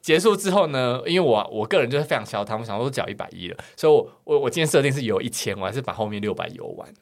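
A man with a Taiwanese Mandarin accent tells personal stories as a podcast guest. He talks quickly, his pitch swinging widely, with a campy, theatrical, cheeky delivery. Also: animated. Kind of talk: casual chat, personal storytelling.

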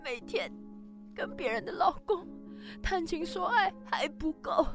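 A woman's voice: extremely high pitch, expressive, measured pace, over music, exaggerated voice. A woman acting a bitter, betrayed woman who accuses her friend in a melodramatic audio drama. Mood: bitter, accusing.

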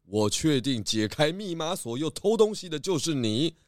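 A man playing a shrewd, confident pig detective in a children's radio play, explaining deductions in a deliberate, theatrical, gently lecturing way. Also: fairly high pitch, big pitch swings, dry recording, studio quality. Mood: triumphant.

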